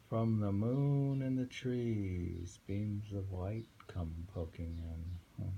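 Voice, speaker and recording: A man with a low, creaky voice reads verse and muses on it informally. He speaks very slowly and haltingly, with pauses to think. Faint noise sits behind him. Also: mellow, rough recording. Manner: halting, musing. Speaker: poetry reader and commentator. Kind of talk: reading poems aloud with informal commentary.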